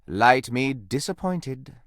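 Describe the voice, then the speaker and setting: A man's voice: medium pitch, lively intonation, slow, a bit bright, dry recording, studio quality. A man playing a flamboyant, gossipy living candelabra in a video game, speaking in dramatic exclamations, mock-archaic turns and coy asides. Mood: theatrical. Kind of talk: animated video game character acting.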